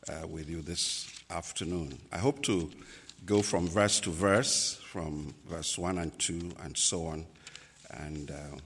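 A man from Nigeria, a native Igbo speaker with a Nigerian accent, preaching a sermon as a pastor, slow and deliberate with many pauses and fillers. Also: low-pitched, fairly bright tone, big-room sound, slight background noise.

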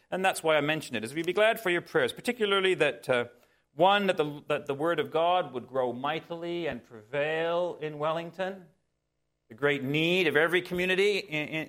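A man's voice: a little high, a bit bright. A man preaching conversationally as a pastor, musing in a folksy way and speaking directly to his listeners. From Canada. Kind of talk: sermon, conversational with personal anecdotes.

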